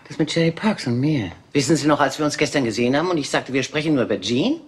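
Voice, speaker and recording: An actress dubbing an aging Hollywood film diva in a German crime drama, theatrical and imperious. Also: female voice, extremely low pitch, lively intonation, quick pace, slightly rough, exaggerated voice. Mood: scheming.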